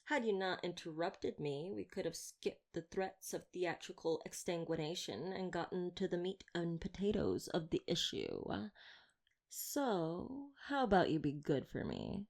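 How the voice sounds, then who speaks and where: A woman's voice: on the low side, big pitch swings, unhurried, gravelly, darkish tone, heavily acted. A woman playing a chatty, flirtatious, theatrical vampire hunter in an audio roleplay, wordy, teasing and mock-grand. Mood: coaxing, flirtatious. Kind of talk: ASMR roleplay audio drama addressed to listener.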